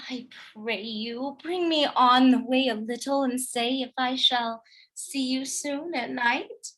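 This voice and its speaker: A woman's voice: high voice, takes it slowly, a bit bright. A woman playing a jealous, reproachful young woman, a neglected lover, in a Shakespearean radio play. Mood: hurt, coaxing.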